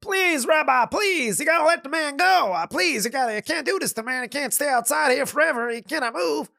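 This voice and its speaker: A man voicing a frightened family member who begs urgently in a high voice. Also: animated, brisk, gravelly, pushed and bright, no echo, studio quality, heavily acted. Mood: begging.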